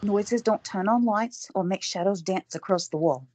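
A woman's voice: medium pitch, moderate pace, a little mellow, lightly acted. An actress playing a young female medic who speaks directly and insistently. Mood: earnest. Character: young woman medic, blunt and earnest.

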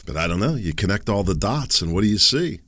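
A man's voice: lowish voice, animated, fast talker, sharp tone, no room sound, studio quality. A man in his fifties hosting a solo podcast, rambling with sarcastic and self-deprecating asides. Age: 50s. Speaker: podcast host. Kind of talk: casual solo podcast monologue.

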